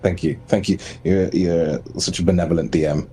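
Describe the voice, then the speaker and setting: A man chatting casually as a player in a tabletop game, speaking haltingly and repeating words. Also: low voice, fairly even intonation, mellow, soft background music. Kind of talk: casual chat.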